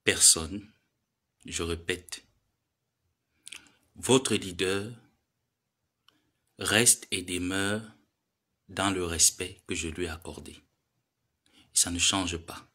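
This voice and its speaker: Man, 30s, bright edgy voice, preachy live-stream lecturer who pleads and scolds. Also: deep voice, fairly even intonation, very slow, pauses often, breathy edge. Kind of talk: admonishing social media live monologue.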